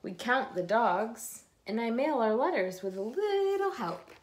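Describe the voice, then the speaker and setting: A woman's voice: a little high, animated, measured pace. Woman reading a picture book over background noise. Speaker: read-aloud host. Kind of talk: children's picture book read-aloud. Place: indoors in a room at home.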